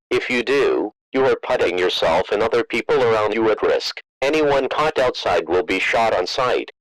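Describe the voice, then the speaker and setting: A man's voice: lowish voice, no echo, close to an everyday voice, rough recording. A synthetic-sounding male voice playing an impassive emergency alert announcer, reading a scripted warning in a formal, impersonal way. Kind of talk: scripted emergency broadcast message.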